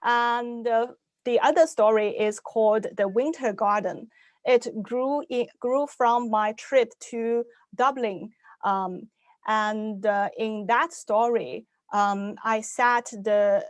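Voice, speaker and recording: A young adult woman from Shanghai whose first language is Chinese, giving an online talk in English about her writing, pausing often with "uh" and "um" and restarting her sentences. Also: fairly high pitch, no echo. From Shanghai, China. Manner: hesitant, many "uh" and "um" fillers, restarts. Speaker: science fiction author giving a talk.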